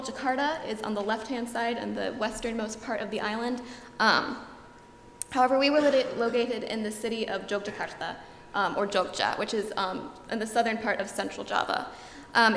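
A young woman, a student giving a slide presentation and filling her pauses with frequent ums. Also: fairly high pitch, a bit bright. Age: young adult. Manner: frequent ums, earnest and informative. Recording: echoey hall, long reverb, faint room noise.

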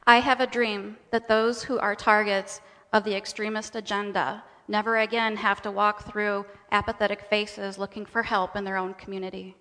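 A woman's voice: medium pitch, flat delivery, normal speed, hardly any pauses, a bit bright. Woman reciting testimony in a reverberant hall. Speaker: speaker in a readers' theater presentation. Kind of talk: rehearsed dramatic testimony read aloud. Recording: echoing hall with long reverb.

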